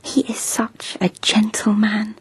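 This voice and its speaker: A woman's voice: medium pitch, monotone, slow, husky, no echo, poor audio. An actress playing a lovestruck young noblewoman in a radio drama, talking in an eager, breathless, whispery way. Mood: dreamy, confiding.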